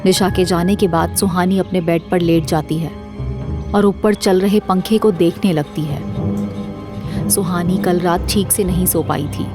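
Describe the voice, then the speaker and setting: A woman's voice: fairly low pitch, steady tone, unhurried, music in the background. A woman narrating a scripted dramatic story as the narrator of an audio series.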